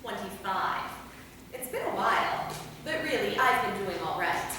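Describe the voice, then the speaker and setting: A woman playing a warm, chatty centuries-old vampire in a staged play reading, conversational and gently probing. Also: mid-range voice, expressive, a little slow, close to an everyday voice. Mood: chatty, teasing. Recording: echoey hall, distant mic, faint noise.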